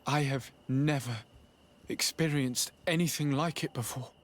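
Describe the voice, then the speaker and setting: An actor playing an earnest young nobleman emissary in a video game, speaking in a measured, formal way. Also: mid-range voice, slight background noise, lightly acted. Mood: shaken, hushed. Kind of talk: video game cutscene dialogue.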